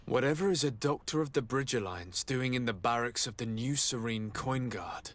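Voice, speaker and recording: An actor playing a poised, commanding noble diplomat in a video game, speaking formally and politely. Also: male voice, medium pitch, moderate pace, a bit bright, close to an everyday voice. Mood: formal, inquiring. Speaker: noble envoy. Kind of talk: video game dialogue acting.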